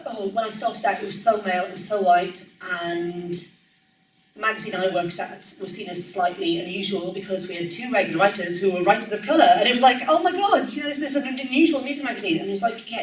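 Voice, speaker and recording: A woman in her forties from Wales with a light Welsh accent, speaking casually as a guest speaker, full of ums and self-corrections. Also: medium pitch. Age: 40s. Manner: chatty, frequent ums and self-corrections, laughs easily. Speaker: music journalist guest speaker. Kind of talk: casual conversational talk. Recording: echoey room, distant mic, faint noise.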